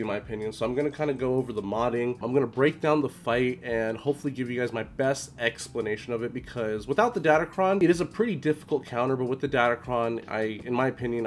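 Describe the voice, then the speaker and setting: A young man with a slightly hoarse voice, talking casually at home through a game strategy walkthrough, full of ums and restarts. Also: mid-range voice, slight background noise. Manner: chatty, many ums and restarts. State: slightly hoarse, recovering from illness. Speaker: game guide creator. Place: home room, indoors.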